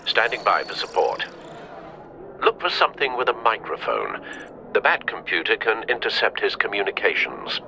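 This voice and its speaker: An actor playing an older, loyal butler and adviser in a video game, articulate and dry. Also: male voice, fairly low pitch, very animated, moderate pace, creaky, background noise. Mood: briefing, watchful.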